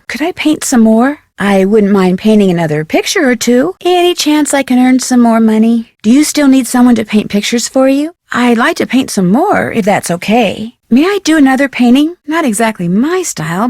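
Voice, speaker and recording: An actress playing a curious, polite young American detective in a video game, speaking clearly and inquisitively. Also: female voice, a little high, expressive, normal speed, hardly any pauses, darkish tone, dry recording, studio quality. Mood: eager, polite.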